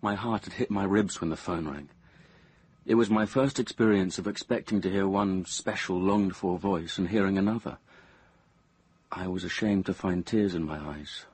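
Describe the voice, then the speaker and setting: Low-voiced anxious lovesick young man. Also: moderate pace, a little mellow, breathy edge, no echo. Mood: confiding, brooding. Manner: earnest, apologetic, confiding. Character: anxious, lovesick young man narrating his own story. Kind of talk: radio drama acting and first-person narration.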